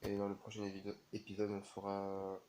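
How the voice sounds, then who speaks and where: Man with a cold, low flat voice. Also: slight background noise. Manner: halting, many fillers and restarts. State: has a cold, sneezing. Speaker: gaming video creator. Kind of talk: casual game commentary.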